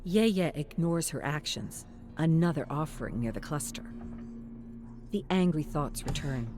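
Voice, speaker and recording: A narrator reading a scripted story in the present tense, in a measured, dramatic storytelling manner. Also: medium pitch, animated, music in the background.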